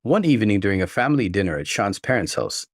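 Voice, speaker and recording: Deep-voiced man narrating a story, lively intonation. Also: quick pace, dry recording, clean studio sound. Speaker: narrator reading online stories. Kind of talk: scripted first-person story narration.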